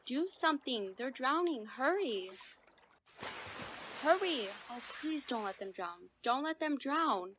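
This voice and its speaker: A woman playing a bitter, scolding, bickering mother in a radio drama, blunt and sharp-tongued. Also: high-pitched, lively intonation, takes it slowly, a little hiss or hum, exaggerated voice. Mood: panicked.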